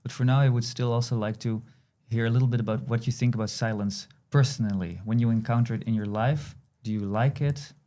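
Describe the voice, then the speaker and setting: A man hosting a podcast interview, talking gently and with loose, hedged phrasing. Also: medium pitch, steady tone, brisk, few pauses. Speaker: podcast host. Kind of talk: casual conversational interview.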